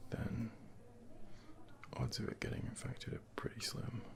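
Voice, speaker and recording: A man speaking softly and breathily, at times whispering, as a gentle, reassuring doctor in an ASMR medical role play, close to a binaural microphone. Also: mid-range voice, little pitch movement. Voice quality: breathy soft voice slipping into whispers. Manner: gentle, reassuring, unhurried asides. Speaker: ASMR performer playing a junior doctor. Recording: clearly noisy close binaural recording, ambient background.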